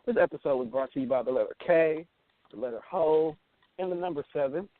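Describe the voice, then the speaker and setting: A man with a fairly high voice comes through a thin phone connection. He talks like an internet radio host joking around, slangy and profane, with playful banter. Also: a little fast. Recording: thin phone line with hiss.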